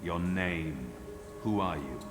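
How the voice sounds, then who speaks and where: A man's voice: low-pitched, takes it slowly. An actor voicing a weary former apostle turned swordsman in a video game, speaking bluntly and tersely. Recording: game audio with echo and music.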